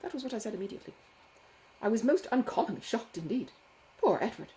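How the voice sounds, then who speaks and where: A woman voicing a foppish, self-satisfied young gentleman in a classic novel, with an affected, gushing, condescending manner. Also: a little high, lively intonation, fast talker, voice pushed up, close to an everyday voice. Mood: affronted. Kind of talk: dramatised audiobook dialogue from a classic novel.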